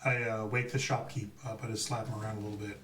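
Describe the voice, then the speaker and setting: A man's voice: fairly low pitch, little pitch movement, fast talker, a bit bright, recorded from a distance, slight background noise. Joking man in a roomy space. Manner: dry, joking. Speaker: player. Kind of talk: casual play chat. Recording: echoey room, mic from afar, music behind.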